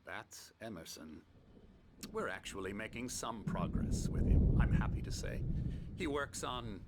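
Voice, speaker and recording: An actor playing a smug, manipulative middle-aged psychiatrist in a video game, with a pompous, patronizing manner. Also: male voice, fairly low pitch, animated, measured pace. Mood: condescending. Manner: pompous, patronizing, smooth-talking. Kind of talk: dramatic video game dialogue. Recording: loud ambient noise behind.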